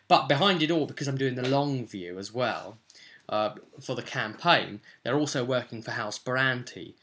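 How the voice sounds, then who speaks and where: Bright-voiced young man rambling casually. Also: medium pitch. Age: young adult. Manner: rambling, informal, thinking aloud. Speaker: vlog host, tabletop game master. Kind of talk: casual vlog talk about a role-playing game. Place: small furnished room at home, indoors. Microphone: webcam or camera at desk distance.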